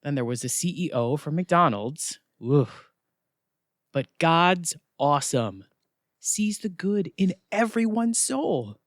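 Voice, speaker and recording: An actor playing a breezy, wisecracking attendant who checks souls into the afterlife in an audio theatre comedy, chatty and flippant. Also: male voice, a little high, animated, slow, no echo, clean studio sound. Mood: upbeat, reassuring.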